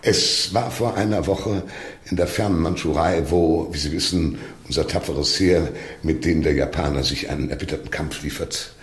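An actor in a radio drama playing a suave, menacing older Russian minister, pompous and over-polite. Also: male voice, a bass voice, normal speed, echoey room. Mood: silky, courtly.

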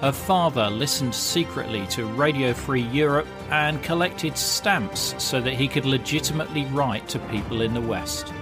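A man hosting a podcast, reading a measured, scripted introduction. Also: medium pitch. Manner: clear, measured, promotional read. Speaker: podcast host. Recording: music bed under voice.

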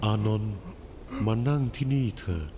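A man voicing a deep, serene Buddha. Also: noisy background, close to an everyday voice. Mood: gently consoling. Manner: solemn, measured, instructing gently. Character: the Buddha, calm, wise male teacher near death. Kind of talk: scripted animated film dialogue.

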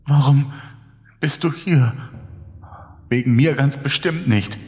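Man playing a desperate, very slow-spoken bunker survivor. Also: mid-range voice, a little hiss or hum, natural voice. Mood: hurt, defiant. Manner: halting, anguished, very slow. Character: desperate male survivor losing his mind alone in a bunker. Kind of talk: German horror audiobook narration. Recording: long reverb over background music.

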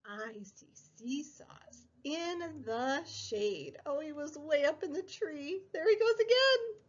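Young female preschool read-aloud host: very lively sing-song, unhurried, slightly dull recording. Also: high-pitched. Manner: sing-song, exaggerated child-directed delivery, drawn-out words. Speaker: preschool story time host and teacher. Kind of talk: picture book read-aloud for small children.

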